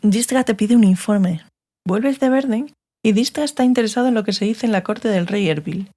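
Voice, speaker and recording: An actress playing a stern, sardonic sorceress, curt and authoritative, in a dramatized fantasy audiobook. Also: female voice, medium pitch, normal speed, mellow, lightly acted. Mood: confidential, wry. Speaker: audiobook actor.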